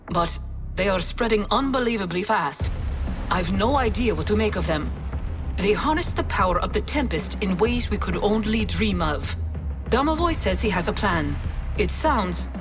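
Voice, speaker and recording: Woman acting a low-voiced loyal military officer. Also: animated, moderate pace, close to an everyday voice. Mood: sober, devoted. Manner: sober, earnest, dutiful. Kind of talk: dramatic game briefing acting. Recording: studio voice under clear music.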